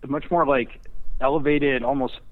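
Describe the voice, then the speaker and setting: A man's voice: mid-range voice, steady tone, moderate pace, dry recording. A man giving casual interview answers as a writer, hesitant and thinking aloud. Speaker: screenwriter being interviewed. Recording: thin phone-like remote line, dry.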